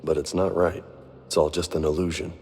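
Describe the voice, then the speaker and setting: An actor playing a blunt, hot-blooded young soldier in a video game, talking in informal slang. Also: male voice, a bass voice, level tone, a little fast, dark tone, breathy edge, heavily acted. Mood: uneasy. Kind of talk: video game dialogue acting. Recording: reverberant hall sound.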